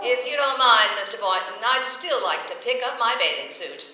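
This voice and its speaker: A woman's voice: fairly high pitch, lively intonation, normal speed, noisy background, lightly acted. Woman playing a wry schoolteacher in an echoey hall. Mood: dry, sarcastic. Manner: dry, sarcastic wisecracking. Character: wry, quick-witted female high school English teacher. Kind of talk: old-time radio comedy acting. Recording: very echoey hall, slightly distant mic.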